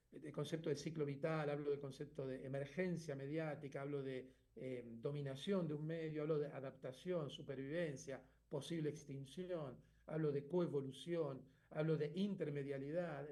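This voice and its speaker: Middle-aged guy talking through his book, kinda high voice but soft and mellow, keeps asking "right?". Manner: thoughtful, checks in with frequent tag questions. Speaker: author. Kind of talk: explaining his own book.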